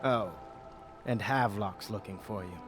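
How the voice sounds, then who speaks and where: A man playing a self-important nobleman in a video game. His fairly low voice is pompous and sardonic, bitter and haughty, with clear music playing behind it. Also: measured pace, lightly acted. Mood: smug. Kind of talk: video game character acting.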